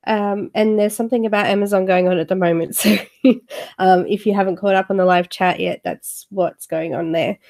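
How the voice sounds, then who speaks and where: A woman's voice: medium pitch, fairly even intonation, moderate pace, darkish tone. A woman hosting a live coloring stream, chatting with viewers and talking a little nasally from a cold. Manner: chatty, friendly, lots of fillers. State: has a cold, slightly nasal. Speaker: YouTube coloring channel host.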